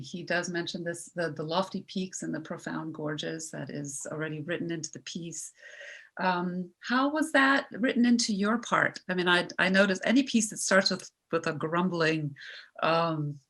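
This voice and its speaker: A woman who grew up in Norway speaks in a slightly low voice. She is a panelist in a conversational discussion, talking informally as she leads into a question. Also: normal speed, fairly bright tone. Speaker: panelist, musician.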